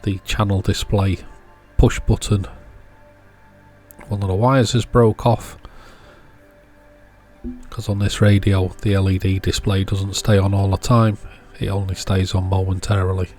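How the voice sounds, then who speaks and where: A man's voice: deep voice, flat delivery, a little slow, darkish tone, soft background music. A man hosting a repair video, giving casual hands-on commentary and thinking aloud as he works. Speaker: host of an electronics repair channel.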